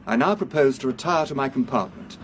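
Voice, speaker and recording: An actor playing a stiff, pompous, coldly exasperated professor in a radio drama, speaking very formally. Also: male voice, medium pitch, brisk, slight background noise, close to an everyday voice.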